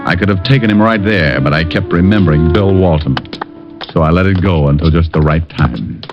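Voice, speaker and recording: A cool, confident private eye who narrates his own case. He trades dry wisecracks in a deep voice. The dull vintage radio sound has music playing behind him. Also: a little fast. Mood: narrating. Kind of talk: 1950s radio drama narration. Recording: dull old radio broadcast.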